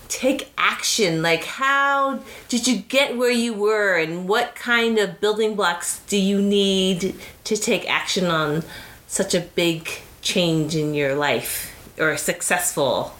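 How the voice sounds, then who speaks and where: A woman's voice: mid-range voice, takes it slowly, fairly bright tone. A woman hosting a casual interview, asking chatty and enthusiastic questions. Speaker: interview host.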